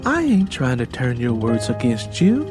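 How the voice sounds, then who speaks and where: A man voicing a weary, plainspoken young pregnant farm wife in an audiobook, with a plaintive, gently challenging manner. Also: mid-range voice, huge pitch swings, a little slow, music in the background. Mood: puzzled, challenging. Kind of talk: dramatized audiobook character dialogue.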